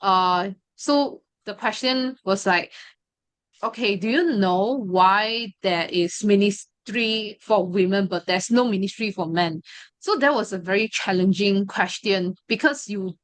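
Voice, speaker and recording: A woman's voice: mid-range voice, measured pace, no echo. A young woman sharing a personal testimony, hesitant and full of fillers and restarts. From Malaysia. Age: 20s. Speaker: study group member sharing her story.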